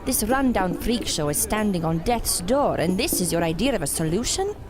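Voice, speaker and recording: An actress playing a haughty carnival mystic in an audio drama, cutting and disdainful. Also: female voice, medium pitch, very animated, moderate pace, natural voice. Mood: scornful. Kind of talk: scripted audio drama acting. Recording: music behind the voice.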